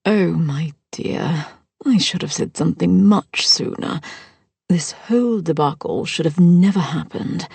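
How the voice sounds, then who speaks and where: A woman doing a proper, soft-spoken older mother-in-law. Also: on the low side, a little slow, vocal fry, husky, no echo, full character voice. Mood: gracious.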